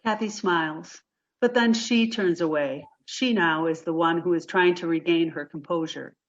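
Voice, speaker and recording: A woman's voice: fairly low pitch, normal speed, lo-fi sound. A woman reading stage directions as the narrator of a play, in scripted narration.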